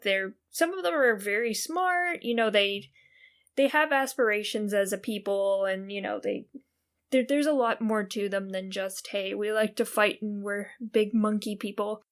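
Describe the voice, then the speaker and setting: Woman, medium-pitched voice, podcast co-host, playful, informal, conversational game lore chat. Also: fairly bright tone. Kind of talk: casual video game lore discussion.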